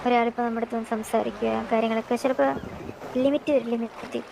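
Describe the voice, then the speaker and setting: A woman's voice: on the high side, little pitch movement, quick pace, vocal fry, dark tone. A woman talking casually in an online roleplay game. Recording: noisy game voice chat.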